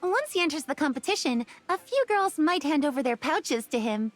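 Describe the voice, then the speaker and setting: High-voiced, friendly young shepherdess. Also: female voice, lively intonation, moderate pace, faint music behind. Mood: friendly, candid. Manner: bright, forthright, welcoming. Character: kind, friendly young shepherdess from a grassland tribe. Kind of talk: game character dialogue.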